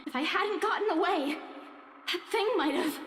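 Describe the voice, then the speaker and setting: A woman's voice: very high-pitched, normal speed, a bit bright. An actress playing a frightened young woman, distressed and insisting that she be believed, in a visual novel. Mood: frightened, pleading. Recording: reverberant echoey hall, faint noise.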